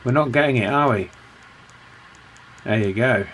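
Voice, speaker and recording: A man's voice: medium pitch, unhurried, fairly bright tone, a little hiss or hum. A man giving informal hands-on repair commentary as the host, explaining things as he thinks aloud. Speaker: radio repair hobbyist and video host.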